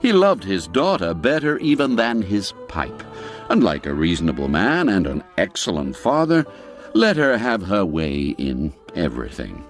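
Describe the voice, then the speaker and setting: A man narrating a literary story in a theatrical, expressive storytelling manner. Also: deep voice, normal speed. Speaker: audiobook narrator. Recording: music behind the voice. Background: music.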